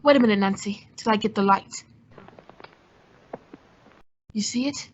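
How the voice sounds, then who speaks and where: A woman playing a cool, quick-witted male adventurer and sleuth in an old-time radio drama. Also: mid-range voice, lightly acted. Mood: focused. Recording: dull lo-fi audio.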